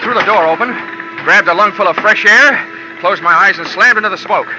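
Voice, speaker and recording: A man's voice: high-pitched, a little fast. A man playing the lead and narrating in an old radio drama, in a dry, wisecracking, hard-boiled manner. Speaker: insurance investigator narrator and lead. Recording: dull lo-fi old radio broadcast with music.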